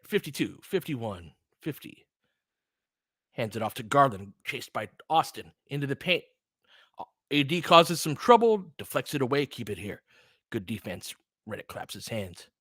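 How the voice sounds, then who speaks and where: A man with a bright, forceful voice at a middle pitch hosts a fan play-by-play stream. He talks casually and with firm opinions, addressing listeners directly and slipping into clipped game calls. The sound is dry, with very little room in it. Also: no room sound. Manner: conversational, opinionated, answers chat commenters by name.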